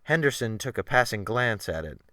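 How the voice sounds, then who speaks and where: Expressive male audiobook narrator, animated pitch. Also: a little fast, dry recording. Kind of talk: dramatic reading of classic fiction.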